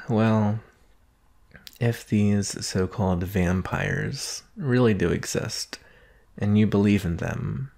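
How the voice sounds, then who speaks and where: A low-voiced boyfriend in an intimate ASMR roleplay, played by a man, with a creaky edge and an unhurried pace. He is soft-spoken and affectionate, teasing gently and thinking things through aloud with long pauses. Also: exaggerated voice. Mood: soft, curious. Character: doting boyfriend, soft-spoken, teasing, analytical former forensics student.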